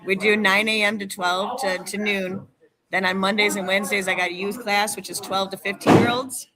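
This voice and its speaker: A woman's voice: medium pitch, fairly even intonation, moderate pace, crowd noise, poor audio. A woman chatting casually and enthusiastically as a wrestling trainer and podcast guest. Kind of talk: casual chat.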